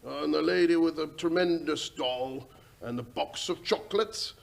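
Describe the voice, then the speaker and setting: An actor playing a plodding, earnest official in an old-time radio drama, reporting with hesitant pauses. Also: male voice, a little high, creaky. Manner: hesitant, stammering while reporting details.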